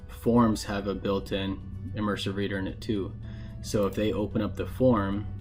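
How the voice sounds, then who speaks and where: A man narrating a casual software tutorial in a plain, informal, explanatory way. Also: lowish voice. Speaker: instructor giving a software tutorial.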